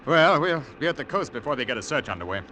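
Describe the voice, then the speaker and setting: A man's voice: a little high, very animated, fast. A man playing a hesitant, polite accomplice posing as a detective, in 1940s radio drama style. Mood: relieved. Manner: stammering, hesitant. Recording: noisy old radio broadcast.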